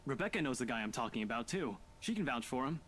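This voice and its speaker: A man voicing a skeptical, rational young man in a visual novel game, speaking in a calm, matter-of-fact and dismissive way. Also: medium pitch, fast talker, slight background noise.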